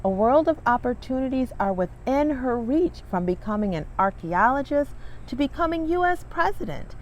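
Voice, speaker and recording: A woman's voice: high-pitched, big pitch swings, moderate pace, dull audio, slight background noise. A woman hosting a children's read-along, speaking in an encouraging, emphatic way as she introduces and reads a picture book. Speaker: read-along host and coach, reading with her daughter.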